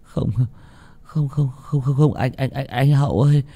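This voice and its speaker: A man's voice: medium pitch, fairly even intonation, moderate pace, dark tone, voice pushed up, lightly acted. A man voicing a frightened village boy who mumbles and stammers deliriously as he pleads, in a spooky storytelling narration. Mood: delirious, pleading. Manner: stammering, mumbling, repeating words.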